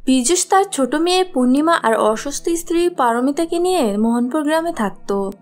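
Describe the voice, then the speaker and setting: Woman narrating a cartoon tale, high voice, faint music under. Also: animated, normal speed, darkish tone. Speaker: storyteller. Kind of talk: scripted cartoon story narration.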